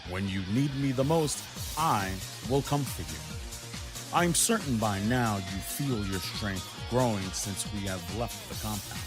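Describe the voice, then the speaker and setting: A man's voice: fairly low pitch, unhurried, lightly acted. A man playing a solemn, commanding mystic mentor in a fan audio drama. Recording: music under the voice.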